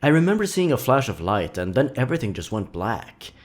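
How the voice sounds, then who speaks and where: A man reading scripted horror fiction as a dramatic narrator, with measured, deliberate phrasing. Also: medium pitch, big pitch swings.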